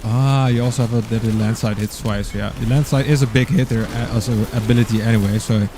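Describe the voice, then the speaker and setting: A man's voice: mid-range voice, steady tone, quick pace, mellow, a little hiss or hum. Dutch-accented man rambling over gameplay. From Netherlands. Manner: rambling, searching for words. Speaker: game streamer. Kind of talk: casual gameplay commentary.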